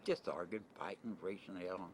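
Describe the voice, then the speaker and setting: A man's voice: low-pitched, normal speed. An older American man in a casual interview, rambling in a blunt, plain-spoken way with mild swearing. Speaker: interviewee, retired merchant seaman. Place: on location at a desert camp, ambient noise. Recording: noisy 1990 amateur videotape sound.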